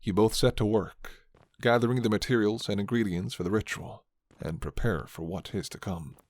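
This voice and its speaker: A man's voice: on the low side, moderate pace, no echo. A man reading a scripted second-person fantasy romance as narrator of an ASMR roleplay.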